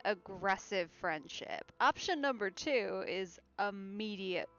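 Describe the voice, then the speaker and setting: A woman with a middle-pitched voice comments casually on a game she is playing. She talks slowly, musing as she goes. The sound is a little dull, with faint game music behind. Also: animated, fairly bright tone. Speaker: let's play streamer.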